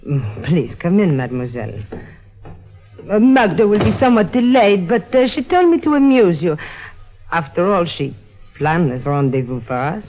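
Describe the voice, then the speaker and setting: A sly, oily French charmer in an old radio play. His higher voice swoops with leisurely, insinuating politeness, French accented, over music on a muffled vintage recording. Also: lively intonation, moderate pace, a little mellow. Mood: smooth, insinuating. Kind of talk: 1950s radio drama acting.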